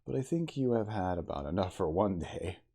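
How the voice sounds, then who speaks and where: A man voicing a gruff, evasive man in an ASMR storybook reading, brusque and dismissive yet placating. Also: low voice, lively intonation, a little fast, dark tone, exaggerated voice. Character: gruff, evasive man soothing a rattled guest.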